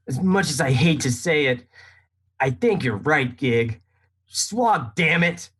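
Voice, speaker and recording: An actor playing a hungover, cranky young float racer with a husky voice and exasperated, whiny delivery in a comic radio drama. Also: mid-range voice, lively intonation, takes it slowly, a bit bright, exaggerated voice. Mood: impatient, resigned.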